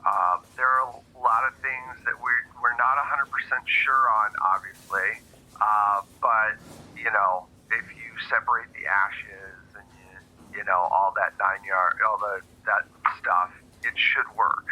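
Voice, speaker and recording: Blunt male handler, acted by a man at a game table, very bright forceful voice, halting informal delivery. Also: lowish voice, fairly even intonation, slightly rough, full character voice, low-quality recording. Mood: matter-of-fact. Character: blunt male agency handler, matter-of-fact boss on the phone. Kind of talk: improvised tabletop roleplay.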